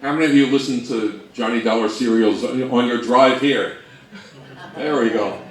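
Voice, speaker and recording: A man's voice: mid-range voice, normal speed. A man giving an informal live presentation to an audience, with a wry, joking way of addressing them. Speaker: presenter at an old-time radio convention talk. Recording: roomy, distant-miked live talk.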